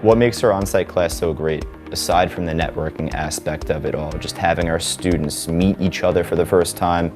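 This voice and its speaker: Deep-voiced New instructor. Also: male voice. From New York. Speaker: senior instructor. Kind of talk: interview testimonial. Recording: music bed underneath.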